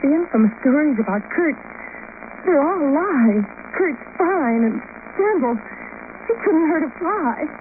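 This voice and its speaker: A woman's voice: high voice, lively intonation, unhurried. An actress playing a devoted young woman who pleads with intense sincerity in 1950s radio drama style. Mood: tender, devoted. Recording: scratchy, noisy, narrow-band 1950s radio recording.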